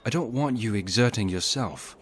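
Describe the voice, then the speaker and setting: An actor playing a steady, protective warrior hero in a video game, terse and direct. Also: male voice, on the low side, moderate pace, a little hiss or hum, lightly acted. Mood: concerned. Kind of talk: video game character dialogue. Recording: music behind the voice.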